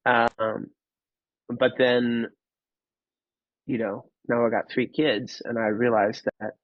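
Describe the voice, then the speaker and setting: A man, telling his personal story in an interview, candid and halting, often repeating words. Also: medium pitch, little pitch movement, no echo, muffled recording. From Finland. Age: 30s. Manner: candid, casually profane. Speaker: podcast guest.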